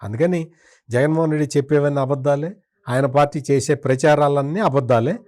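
A man's voice: fairly high pitch, fairly quick, darkish tone. A man speaking as a political commentator in an interview, in a critical, opinionated way with emphatic repetition.

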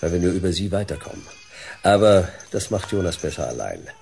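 Deep, gravelly hard-boiled detective. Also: male voice, brisk, soft background music. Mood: terse, resolute. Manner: dry, terse, deadpan. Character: laconic, hard-boiled male private detective. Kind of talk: noir sci-fi radio play narration and dialogue.

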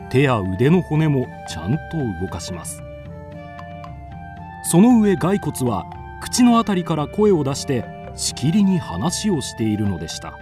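Male narrator, ghost story, fairly high mellow voice, expressive intonation, music behind.

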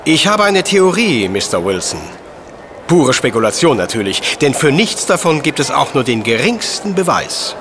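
An actor in a German audio drama playing a brilliant, self-assured professor detective with a precise, didactic, superior manner. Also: male voice, medium pitch, big pitch swings, moderate pace, over music, close to an everyday voice. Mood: musing, deducing.

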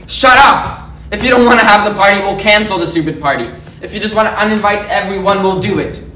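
Guy playing an upset partner, bright slightly high voice, pleading then sorry, far off with faint hiss. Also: a little fast. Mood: exasperated. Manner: tense, pleading then apologetic. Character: frustrated partner torn over cancelling a party. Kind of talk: dramatic monologue. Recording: echoey room from a distance.

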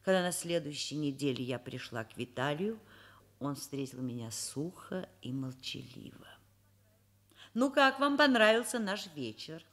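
A woman's voice: low voice, sing-song, measured pace. An actress playing a kindly, wry, educated woman professor in a Soviet radio drama, speaking thoughtfully and expressively. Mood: reflective, wry. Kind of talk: Soviet radio drama acting, first-person narration and dialogue.

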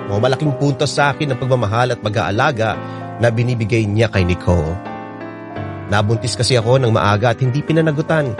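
Guy radio host reading out a heartfelt letter, mid pitch, guitar music behind. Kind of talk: sentimental scripted storytelling.

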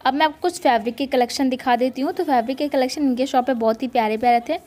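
A woman's voice: high voice, racing, darkish tone. A woman narrating a shopping vlog casually, gushing with repeated praise. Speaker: shopping vlogger.

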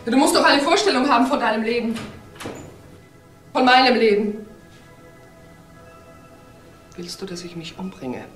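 A woman's voice: fairly high pitch, a little fast, echoey room, soft background music, natural voice. A woman playing a suspicious, hurt wife and boss in a crime drama, controlled and bitter. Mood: bitter, pleading. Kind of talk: dramatic dialogue acting.

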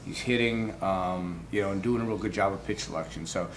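A middle-aged man answering post-game questions as a baseball manager, informal and halting. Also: deep voice. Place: indoor press backdrop room. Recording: clearly noisy room background.

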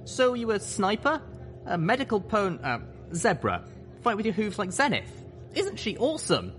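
Man putting on an eager, high-pitched young pony mare. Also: huge pitch swings, a bit bright, soft background music, heavily acted. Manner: eager, chatty, rapid-fire questioning.

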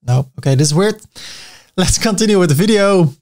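A man's voice: high voice, lively intonation, a little fast, darkish tone. Dutch-accented man teaching web design. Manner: chatty, self-mocking asides. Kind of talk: screen-recorded software tutorial.

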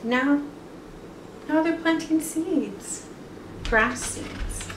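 A woman's voice: high voice, very slow. A woman in her thirties reading a picture book aloud to children and commenting on it. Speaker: children's storybook reader. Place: indoor room with bare wall. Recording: echoey room, distant mic, some noise.

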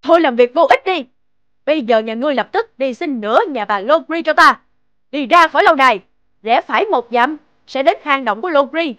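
A woman's voice: high voice, expressive, brisk, fairly bright tone, no room sound. A woman voicing a cruel, vengeful fairy in a cartoon fairy tale, commanding and gloating. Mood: angry, commanding.

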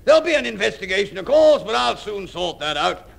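An actor playing a jovial, dismissive older admiral in a 1950s radio comedy, brisk and blustering. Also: male voice, high-pitched, big pitch swings, creaky, exaggerated voice.

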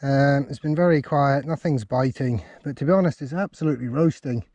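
A man talks in a casual, low-key way, like an angler keeping a video diary. His voice is soft-edged and mellow, at an ordinary male pitch. He is speaking outdoors on a riverbank. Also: fairly quick, no echo, lo-fi sound. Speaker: angler presenting a fishing diary. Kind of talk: casual outdoor vlog chat.

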